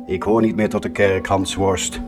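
An actor playing a bitter, mocking fallen priest tormented by a devil, in a theatrical, accusing radio drama style. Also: male voice, deep voice, fairly even intonation, over music, natural voice. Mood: defiant confession. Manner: slow, accusing, sardonic, solemn declaiming.

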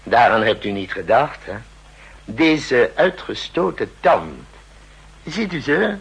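An actor playing a chatty, knowing older dentist who muses and lectures haltingly in a 1960s radio drama. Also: male voice, mid-range voice, big pitch swings, heavily acted, rough recording. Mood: knowing, triumphant. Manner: halting, musing, lecturing, repeats himself. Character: chatty, knowing old male dentist, musing and self-satisfied. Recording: husky-sounding old 1960s radio recording.

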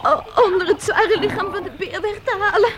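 Caring young sister: extremely high voice, emotional exclamations, very noisy old radio-play recording. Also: female voice, fairly quick. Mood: anxious, tender. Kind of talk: radio drama acting.

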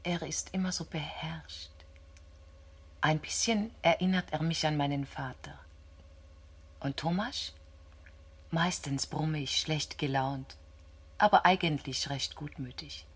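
Young female astronomer, low breathy voice, ambitious, candid, introspective, halting, science fiction radio play. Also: exaggerated voice. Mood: candid, reflective.

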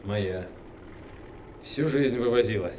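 An actor playing a strained young army corporal, a driver making terse military reports in a radio drama. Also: male voice, on the low side, slow, darkish tone, a little hiss or hum. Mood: dutiful, strained. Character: strained young soldier, a corporal driving munitions in danger. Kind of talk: Soviet radio drama acting. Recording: echoey radio drama with vehicle sound.

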